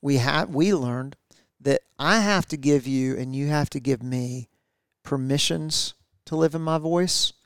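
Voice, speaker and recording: A man's voice: medium pitch, measured pace, smooth, no echo, studio quality. A middle-aged man co-hosting a podcast, talking reflectively with his wife, with many fillers and restarts. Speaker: podcast co-host. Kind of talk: casual conversation with spouse.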